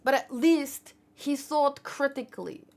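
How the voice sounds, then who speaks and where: High-voiced woman in casual rambling commentary. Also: a little slow. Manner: informal, rambling, self-questioning. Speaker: host of a manga read-along channel. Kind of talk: casual livestream commentary, thinking aloud.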